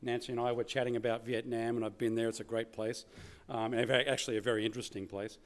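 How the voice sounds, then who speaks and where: Aussie guy doing a keynote, medium pitch, chatty and informal, lots of you know and kinda. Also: level tone, fast. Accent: Australian. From Australia. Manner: conversational, often saying "you know", "kind of" and "right?". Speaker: keynote speaker. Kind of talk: informal conference presentation.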